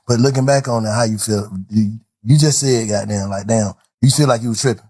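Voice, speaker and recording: A man's voice: fairly low pitch, a little fast, warm tone, breathy edge, no room sound. A man interviewing casually, asking questions in a conversational, informal way.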